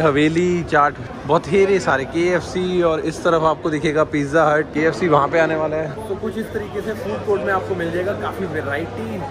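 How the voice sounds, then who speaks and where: A young man, chatting casually through a mall tour vlog with a phone held at arm's length. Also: on the high side. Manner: chatty, showing things to camera as he walks. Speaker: vlogger. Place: large indoor shopping mall.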